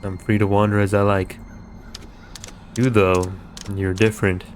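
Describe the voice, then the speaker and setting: A man's voice: on the low side, flat delivery, measured pace, slight background noise, lightly acted. A man reading aloud the lines of a bandage-wrapped, bored man who taunts the listener from beside his tent. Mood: mocking. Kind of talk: reading game dialogue aloud.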